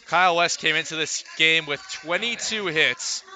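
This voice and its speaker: Bright, forceful male baseball play-by-play announcer. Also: fairly high pitch, normal speed, a little hiss or hum. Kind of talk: live radio sports commentary. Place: live at a ballpark.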